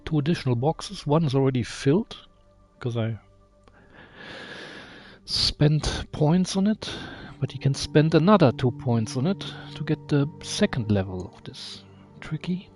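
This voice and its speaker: A man's voice: a little high, animated, measured pace, long pauses, darkish tone, faint music behind. A man giving casual gameplay commentary, musing aloud, reading menu text and asking himself questions. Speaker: video game player and channel host.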